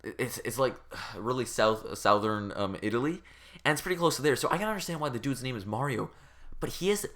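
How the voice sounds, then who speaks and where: A man's voice: mid-range voice, animated, fairly quick, fairly bright tone. A man giving a casual comedic rant as a game reviewer, rambling and exasperated, with informal, profane speech.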